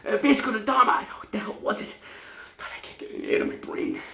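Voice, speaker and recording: Man acting frantic hypochondriac, high and echoey. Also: big pitch swings, brisk, creaky, pushed and bright, heavily acted. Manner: panicky, gasping, exclamatory. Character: frantic neurotic hypochondriac man convinced he is dying. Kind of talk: comic stage monologue. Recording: roomy, echoing solo room recording.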